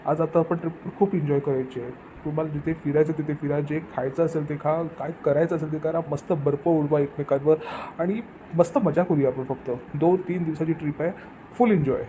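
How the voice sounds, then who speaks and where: A man's voice: a little high, steady tone, brisk, mellow, close to an everyday voice, poor audio. A man playing a cheerful, devoted father in a cartoon family comedy, speaking in an affectionate, earnest way. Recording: clear background noise, ambience.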